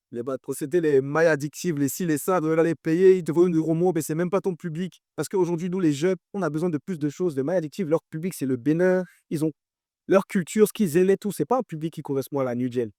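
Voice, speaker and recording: A man's voice: a little high, dark tone, dry recording, clean studio sound. A man in his twenties with a French accent, a guest artist talking casually in an interview and repeating phrases for emphasis.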